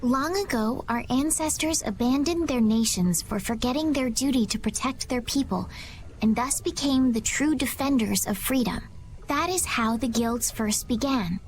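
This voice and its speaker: A woman voicing a gentle, bookish young noblewoman in a video game, speaking earnestly and formally. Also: on the high side, slight background noise, lightly acted.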